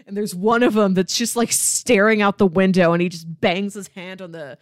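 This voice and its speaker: A young adult woman co-hosting a podcast, discussing film casually and reflectively in an expressive way. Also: mid-range voice, moderate pace, no echo, studio quality. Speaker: podcast co-host.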